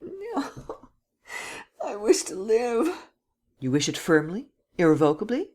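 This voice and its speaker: A woman's voice: deep voice, very animated, fairly quick, darkish tone. Woman voicing a desperate, stammering young man. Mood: pleading. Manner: pleading, sobbing, stammering.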